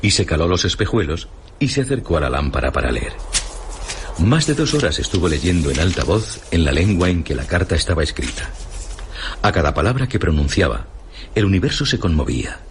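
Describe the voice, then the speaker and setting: A radio-theatre storyteller with a low voice and lively, swooping intonation. His Castilian Spanish narration is dramatic and ominous, over faint ambient noise. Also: a little fast. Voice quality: deep. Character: storyteller narrating a fantastical fairy tale.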